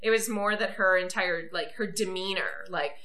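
Bright-voiced chatty woman. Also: lowish voice, normal speed. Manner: chatty, swearing, acts out quoted voices. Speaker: podcast co-host. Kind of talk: casual chat reviewing a TV episode.

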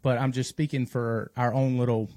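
A man's voice: medium pitch, little pitch movement, normal speed, warm tone, no echo. A man talking as a firefighter and instructor in a podcast conversation, explaining earnestly at length and correcting himself as he goes. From North Carolina, United States.

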